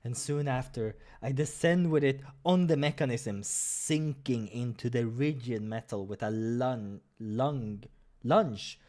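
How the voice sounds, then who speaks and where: A man's voice: medium pitch, takes it slowly, darkish tone. A man reading a visual novel aloud as a let's player, dramatic with casual asides and the odd stumble, over music. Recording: music behind the voice.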